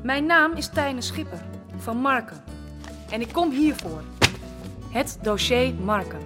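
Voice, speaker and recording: A woman's voice: high voice, animated, slow pace, over music. An actress in a Dutch radio drama playing a determined, indignant young village woman who speaks insistently and emphatically. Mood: defiant.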